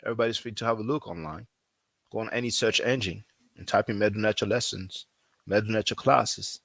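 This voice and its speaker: A man who is not a native English speaker, talking casually as a language teacher, repeating himself often and filling pauses with "you know.". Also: on the low side, gravelly, fairly bright tone, no room sound. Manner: repetitive, conversational, frequent fillers. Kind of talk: casual promotional talk.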